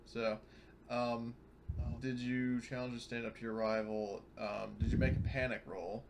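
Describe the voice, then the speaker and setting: A man's voice: mid-range voice, flat delivery, a little slow, gravelly, heavy background noise. A man chatting casually at a tabletop role-playing game as one of the players.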